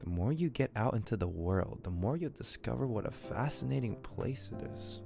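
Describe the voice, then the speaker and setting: A man's voice: medium pitch, lively intonation, normal speed, vocal fry. A man streaming a game live, chatting casually in slang and repeating himself for emphasis. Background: game music.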